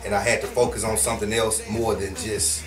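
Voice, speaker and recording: A man's voice: on the low side, little pitch movement, brisk, echoey room, far from the mic, music in the background. A man from Houston, a rapper being interviewed, talking loosely and casually with lots of fillers. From Houston, Texas. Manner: laid-back, slangy, with many fillers. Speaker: guest rapper.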